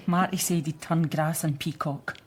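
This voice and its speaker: A woman's voice: low-pitched, fairly even intonation, measured pace, husky. An actress playing a blunt, defensive working-class Scottish woman in a radio crime drama. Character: defiant, surly working-class woman, grieving girlfriend.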